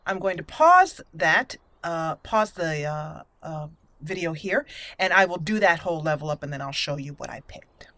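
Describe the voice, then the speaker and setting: A woman giving casual, roleplay-minded let's play commentary, thinking aloud with hesitant pauses as she hosts. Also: low voice, lively intonation, fairly bright tone, dry recording. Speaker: gameplay host.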